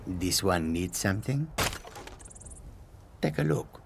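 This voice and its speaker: An actor playing an unhurried male cat-folk caravan merchant in a fantasy game, languid in manner. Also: low-pitched, expressive, creaky, noisy background, full character voice. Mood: languid, courteous.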